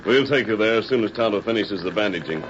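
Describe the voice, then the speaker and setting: A man playing a heroic masked lawman in an old-time radio western, calm, earnest and authoritative, with measured, formal diction. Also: on the low side, loud music behind the voice, natural voice. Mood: resolute. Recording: dull, noisy vintage radio recording.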